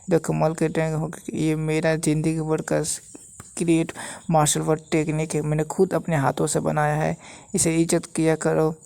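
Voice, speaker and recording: Man playing ancient immortal master, proud, scolding, creaky voice, flat delivery, story recap. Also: fairly high pitch, moderate pace. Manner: boastful, condescending. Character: proud, scolding old immortal martial arts master spirit.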